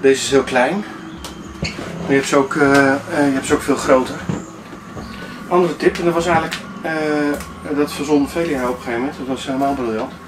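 A man's voice: mid-range voice, fairly even intonation, brisk. Hesitant man in a roomy, noisy recording. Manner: hesitant, searching for words. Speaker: sailing vlogger. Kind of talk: informal demonstration talk to camera. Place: inside a sailboat cabin at the navigation desk. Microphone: camera a few steps away. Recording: roomy, distant mic, clearly noisy.

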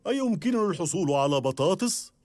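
A man's voice: high-pitched, big pitch swings, fast talker. An actor voicing a cheerful, playful father pig in a dubbed cartoon, jokey and chuckling. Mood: relaxed. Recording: music bed under voice.

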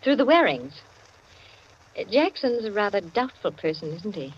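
Worldly, teasing hostess, 1940s radio style. Husky, highly animated intonation, commanding and self-assured. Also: female voice, normal speed. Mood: brisk, playful. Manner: arch, teasing, self-possessed. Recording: muffled, worn 1940s radio recording.

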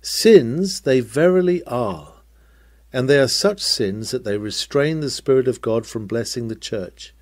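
A man reading an old-fashioned sermon aloud in an emphatic, declamatory manner with deliberate phrasing. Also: medium pitch, animated, dry recording. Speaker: sermon reader. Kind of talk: dramatic read-aloud of a 19th-century sermon.

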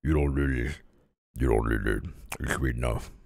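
A man voicing a suave, seductive scholar and train buff, smooth and flirtatious. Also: sits low, sing-song, moderate pace, full character voice.

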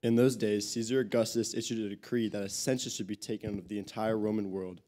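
Young man, fairly low pitch, mellow tone, halting scripture reading, handheld mic. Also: fairly even intonation. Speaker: scripture reader. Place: church sanctuary stage. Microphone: handheld microphone.

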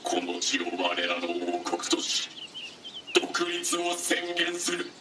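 A man's voice: fairly high pitch, big pitch swings, takes it slowly. A man voicing a solemn, pompous machine lifeform with grandiose archaic speech, founding a kingdom in a game. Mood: resolute, solemn. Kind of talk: Japanese game voice acting. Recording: distant, echoing, noisy ambience.

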